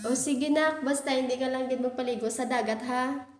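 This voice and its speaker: A woman's voice: high voice, steady tone, moderate pace, a little hiss or hum, voice pushed down, close to an everyday voice. A woman voicing a mother who warns and scolds her young daughter. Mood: scolding. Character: scolding fisherman's wife, a mother.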